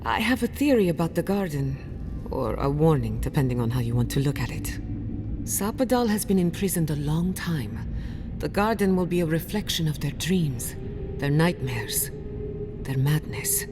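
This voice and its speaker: An actress playing a bold, curious scholarly explorer in a video game, speaking in an earnest, thoughtful manner. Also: female voice, deep voice, big pitch swings, moderate pace, over music. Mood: grave warning. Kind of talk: video game dialogue acting.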